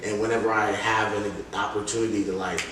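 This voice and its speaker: Male interview guest, lower register, emphatic and slangy, casual delivery, strongly reverberant room with distant mic. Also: a little fast. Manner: animated, emphatic, slangy storytelling. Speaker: interview guest, music artist. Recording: very echoey room, distant mic, faint noise.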